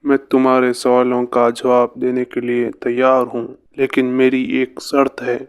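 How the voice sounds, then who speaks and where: A man playing an earnest, brave and curious young village son in a cartoon fairy tale, with deliberate storybook delivery. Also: mid-range voice, monotone, smooth, close to an everyday voice. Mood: earnest, resolute.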